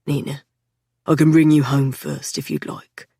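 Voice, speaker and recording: A woman's voice: low-pitched, fairly even intonation, measured pace, gravelly, warm tone, husky, no room sound, studio quality, pitched below the performer's own voice, exaggerated voice. A woman voicing a weary, protective young man.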